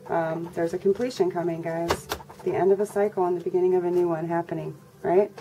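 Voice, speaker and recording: A congested woman giving a casual tarot reading to her viewers in a flat, monotone way. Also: a little fast. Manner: monotone, low-energy delivery. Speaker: tarot reader. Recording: noisy recording with birds chirping. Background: birds and background noise.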